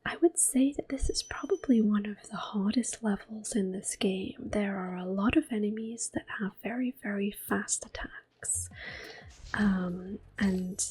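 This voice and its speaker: A woman speaking in a hushed, unhurried, gentle whisper as she gives ASMR game commentary. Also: medium pitch, breathy edge, low-quality recording. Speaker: host of an ASMR gameplay series. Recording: clicking controller noise. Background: controller clicks.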